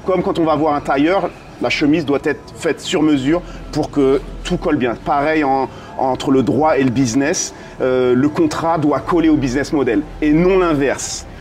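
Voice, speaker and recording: A man's voice: on the high side, moderate pace, darkish tone. A man from a French and American background answering interview questions conversationally as a business consultant, dropping in English business terms. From France and United States, dual background. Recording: clearly noisy ambient background.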